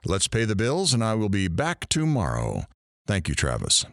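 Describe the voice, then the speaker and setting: A man's voice: fairly low pitch, huge pitch swings, moderate pace, creaky, fairly bright tone, no echo, studio quality, heavily acted. Man playing a sarcastic, swooping radio host. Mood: breezy, sardonic. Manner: sarcastic, showy. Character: brash sarcastic male morning radio talk show host. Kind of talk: radio shock-jock patter in an audio drama.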